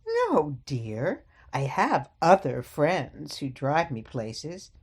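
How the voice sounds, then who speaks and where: An older woman playing a sweet but stubborn elderly mother in a radio play, gently chiding and coy. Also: deep voice, huge pitch swings, very slow, husky, exaggerated voice.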